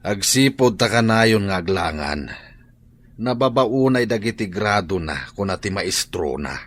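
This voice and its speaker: A principled father in a family drama. His voice is on the low side. He reasons and scolds as a stern head of the household. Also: lively intonation, measured pace, no echo. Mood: matter-of-fact. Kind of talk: Ilocano family drama acting.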